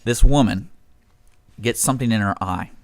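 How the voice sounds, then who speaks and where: A man's voice: lowish voice, normal speed, no room sound. A man hosting a casual live radio show, rambling and often interrupting himself as he tells stories. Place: radio studio.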